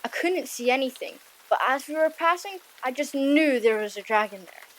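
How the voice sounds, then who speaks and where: A woman's voice: sits high, lively intonation, moderate pace, fairly bright tone, rough recording. A woman voicing an earnest young Viking boy hero who explains things plainly in an audiobook drama. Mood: earnest, wondering. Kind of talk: audiobook drama character acting.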